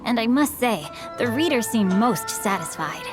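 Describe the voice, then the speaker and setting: Proper young lady author from a game, kinda high voice, formal and gracious, music behind. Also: very animated, normal speed. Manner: formal, courteous. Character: polite, refined young female novelist, discreet and gracious. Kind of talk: video game voice acting.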